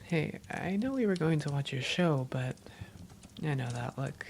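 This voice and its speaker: Woman playing a low, creaky-voiced vampire girlfriend. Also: lively intonation, a little slow, a little mellow, slight background noise. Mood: tender.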